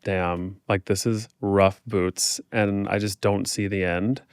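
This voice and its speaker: A man's voice: on the low side, level tone, slow pace, clear, resonant tone, dry recording, studio quality. A man from the American Midwest talking casually as a podcast guest, informal and chatty. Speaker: podcast guest, fitness instructor. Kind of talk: casual conversational interview.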